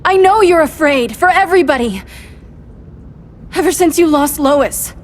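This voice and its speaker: A woman's voice: high-pitched, animated, unhurried, slight background noise. An actress playing a hopeful, heroic young super-powered woman in video game cutscenes, speaking in an urgent, pleading way. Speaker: game character. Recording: game cutscene with loud music behind.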